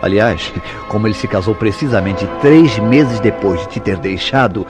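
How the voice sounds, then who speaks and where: Male actor as suspicious husband, Brazilian accent, fairly low pitch, theatrical interrogating delivery, radio drama, prominent background music. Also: normal speed, natural voice. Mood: suspicious, pressing. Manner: accusatory, insistent, theatrical delivery. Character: jealous, suspicious husband interrogating his wife. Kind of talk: radio soap opera acting. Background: music behind the voice.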